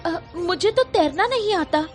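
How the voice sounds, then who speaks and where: A woman's voice: extremely high pitch, lively intonation, a little slow, soft background music, pitched above the performer's own voice. A woman voicing a sensible, outspoken young daughter of a poor farmer in a cartoon story, plain-spoken and earnest. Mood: panicked.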